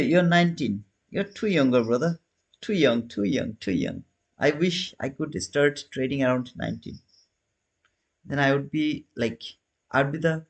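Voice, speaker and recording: A man in his thirties casually hosting a trading livestream, chatting with viewers and answering their comments with loose, restarting phrasing. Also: mid-range voice. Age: 30s. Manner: non-native phrasing, frequent restarts. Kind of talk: casual live-stream commentary.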